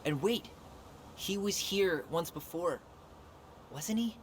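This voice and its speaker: A man's voice: high voice, expressive, takes it slowly, a little hiss or hum. An actor playing an earnest, insistent young man haunted by the past, in a naturalistic video game cutscene. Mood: troubled, urgent. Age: young adult.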